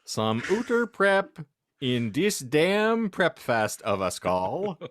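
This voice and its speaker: A man hosting a podcast, giving a theatrical comic reading of fan fiction and halting over odd words. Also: a little high, very animated, fairly bright tone, no room sound. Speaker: podcast host and narrator.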